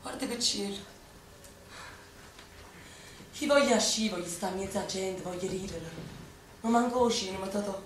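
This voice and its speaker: A woman's voice: medium pitch, measured pace, long pauses, slight background noise, natural voice. An actress playing a young Neapolitan woman who fights as a wartime partisan, speaking with a Neapolitan accent in a stage play for radio. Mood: wistful. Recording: echoey stage, distant mic, music underneath.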